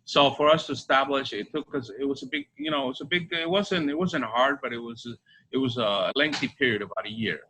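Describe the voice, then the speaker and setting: A middle-aged man from Bolivia answering interview questions conversationally, halting and repeating his phrases. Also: mid-range voice, sharp tone, low-quality recording. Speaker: restaurant co-owner. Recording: faint background ambience noise.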